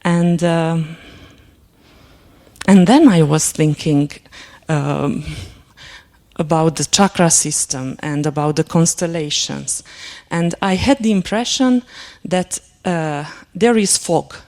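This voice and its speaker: A woman whose first language is Hungarian, giving a lecture and telling a personal story in a halting, reflective way, with many fillers and restarts. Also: deep voice, dark tone. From Transylvania, Romania.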